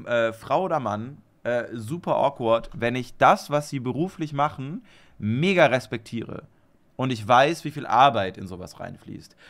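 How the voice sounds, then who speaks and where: A man's voice: medium pitch, a bit bright. A young man co-hosting a podcast in casual chat, rambling with lots of fillers, swearing often and laughing easily. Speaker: podcast co-host.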